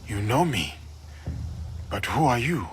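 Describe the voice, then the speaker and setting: A man playing a formal, resolute foreign-born samurai in a video game cutscene, speaking in a measured, grave way. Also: medium pitch, very animated, a little hiss or hum. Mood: grave, courteous.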